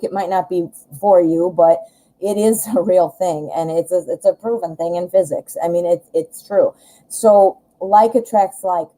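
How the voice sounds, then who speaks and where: A woman's voice: mid-range voice, dark tone, poor audio. A woman with a Midwestern American accent giving a casual live tarot reading, conversational and self-deprecating, often rephrasing mid-thought. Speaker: tarot reader, live show host.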